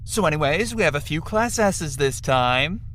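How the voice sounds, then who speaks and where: Actor as a lively, flippant male executive. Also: medium pitch, moderate pace, a little hiss or hum, lightly acted. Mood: breezy, sly. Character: flippant, callous, wealthy male show executive. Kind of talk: audio drama acting.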